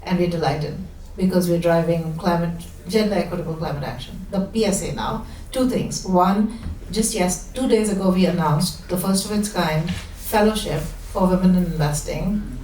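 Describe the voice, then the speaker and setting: A woman from India, a fund founder, giving an informal spoken pitch at a panel event. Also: moderate pace, darkish tone. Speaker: fund founder and managing partner. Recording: echoey room, distant mic, background noise.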